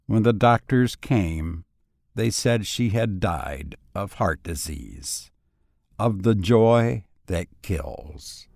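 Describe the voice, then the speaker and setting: Slow, measured male story narrator. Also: lowish voice, expressive, gravelly, darkish tone, no echo. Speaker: audiobook narrator. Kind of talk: scripted literary story narration.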